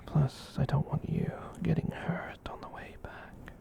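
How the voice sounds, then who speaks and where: A performer voicing a gentle, soft-hearted giant catboy in a breathy whispered ASMR comfort roleplay, tender and hesitant as they ramble. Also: medium pitch, fairly even intonation, a little slow. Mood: tender, reassuring.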